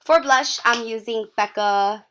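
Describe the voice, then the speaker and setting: A woman's voice: on the high side, unhurried, fairly bright tone, slight background noise. A woman narrating her makeup tutorial in a chatty, gushing way, with a slightly strained voice. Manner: chatty and gushing, with frequent "like" and light laughs. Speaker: beauty vlogger. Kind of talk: casual makeup tutorial voice-over.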